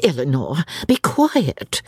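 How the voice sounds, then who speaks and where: A woman voicing an anxious, prim, self-effacing older spinster from a novel, in a hushing, fretful manner. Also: sits low, huge pitch swings, slow, breathy edge, no echo, clean studio sound, exaggerated voice. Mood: hushed scolding. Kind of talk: audiobook character voice.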